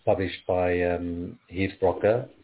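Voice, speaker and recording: A man from Africa, reading his poems aloud in a measured, rhythmic way. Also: low-pitched, steady tone. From South Africa. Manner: measured, rhythmic recitation with pauses. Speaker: poet. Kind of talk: poetry reading. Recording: tinny phone-like audio.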